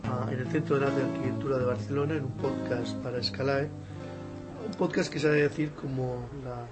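A man's voice: medium pitch, steady tone, fairly quick, loud music behind the voice. A man hosting a podcast interview, talking in a digressive, hesitant way with many fillers. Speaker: podcast host. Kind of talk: conversational interview. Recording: faint background noise.

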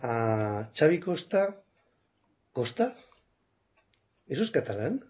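A man hosting an interview podcast, asking questions in a lively, playful way. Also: mid-range voice, slow. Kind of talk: conversational interview. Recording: slightly muffled podcast recording.

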